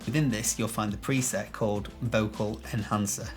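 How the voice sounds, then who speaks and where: A man with a bassy voice, explaining a video-editing tutorial to camera in a chatty, self-deprecating way, recorded on a shotgun mic in a small room. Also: steady tone, moderate pace, music in the background. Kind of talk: casual tutorial talk to camera. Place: small room with some echo. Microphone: shotgun microphone.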